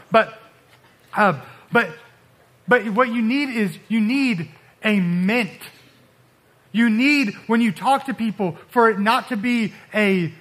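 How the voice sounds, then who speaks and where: A man preaching a casual sermon in a high, bright, forceful voice. He talks loosely, with many fillers, and often acts out what people say. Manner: casual, animated, with frequent fillers and acted-out quotes. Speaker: pastor.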